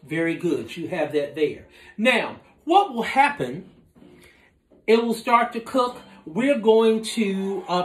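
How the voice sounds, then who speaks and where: A man in his forties hosting a home cooking demonstration, explaining each step in a relaxed, instructive way as he works at his kitchen counter. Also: sits high, reverberant. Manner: relaxed, instructive, talks while working with his hands. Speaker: cooking show host. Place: home kitchen with dining room behind, indoors.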